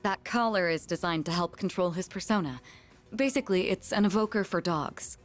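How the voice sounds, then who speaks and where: An actress playing a composed, studious student leader in a video game, formal and measured. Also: female voice, on the low side, faint music behind, natural voice. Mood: explanatory.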